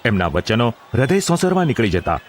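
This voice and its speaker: A man's voice: mid-range voice, sing-song, brisk, slight background noise. A man narrating a scripted audio drama in a formal, literary storytelling manner. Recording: music under the voice.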